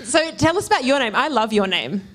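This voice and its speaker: A woman's voice: on the high side, big pitch swings, fairly quick, fairly bright tone. A young woman hosting a live talk show interview on a stage, speaking into a handheld microphone. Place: theatre stage before a seated audience.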